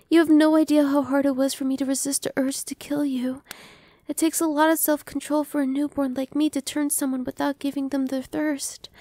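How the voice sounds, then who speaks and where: A woman voicing a cheerful, newly turned young female vampire in an ASMR roleplay, speaking softly and reassuringly, sometimes dropping to a whisper. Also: high-pitched, no room sound, natural voice. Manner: soft, reassuring, playful, drops to whispers. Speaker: voice actress.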